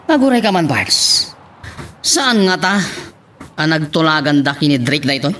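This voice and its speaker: A man's voice: on the high side, big pitch swings, normal speed, pushed and bright, slight background noise. A man with an Ilocano accent playing a chatty, needling pal in an audio drama. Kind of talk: Ilocano radio-style drama acting.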